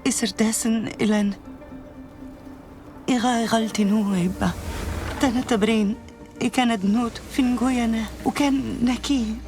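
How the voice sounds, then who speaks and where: A woman's voice: fairly high pitch, fairly even intonation, rapid-fire, pauses often, a little mellow, faint music behind, natural voice. A woman playing an urgent Libyan daughter in a dramatic video game scene.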